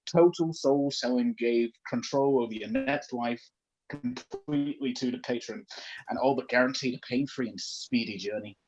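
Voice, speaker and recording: A man's voice: mid-range voice, moderate pace, darkish tone. Man reading comedy fiction, dull lo-fi sound. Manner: jokey, breaks off into asides and giggles. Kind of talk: live comedic story read-aloud with asides.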